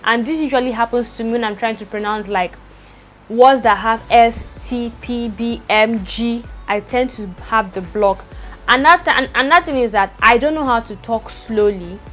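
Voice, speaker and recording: Fast-talking young woman who stutters. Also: a little high, a bit bright, faint music behind. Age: young adult. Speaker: vlogger. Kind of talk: personal vlog talk to camera. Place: indoors at home, rain outside.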